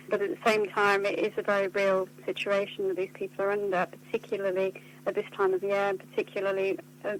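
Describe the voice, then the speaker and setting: A woman answers interview questions in an earnest, articulate way. Her pitch stays fairly flat as she explains. There is a faint hum and noise on the line. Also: low-quality recording. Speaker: charity communications officer being interviewed. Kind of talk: spoken radio interview answers.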